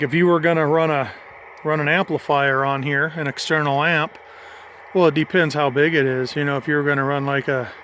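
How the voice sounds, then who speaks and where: Middle-aged male, slightly high voice, informal hobbyist demo delivery, thinks aloud, some background noise. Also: a bit bright. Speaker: hobbyist vlogger demonstrating a CB radio. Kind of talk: casual hands-on demonstration. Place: in or beside a parked vehicle. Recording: clearly noisy handheld amateur recording.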